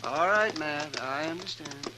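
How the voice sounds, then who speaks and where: An actor playing an anxious, kindly older country doctor of the American West, hesitant and fussy, in 1950s radio western style. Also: male voice, on the high side, unhurried, lightly acted. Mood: pleading. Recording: noisy vintage radio broadcast.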